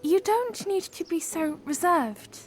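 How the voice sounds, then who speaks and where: Woman acting a very high-voiced, earnest young princess. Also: expressive, soft background music, full character voice. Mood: warm, earnest. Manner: hesitant, halting, polite formal phrasing. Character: earnest, shy young princess, playful and polite. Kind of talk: fan audio drama acting.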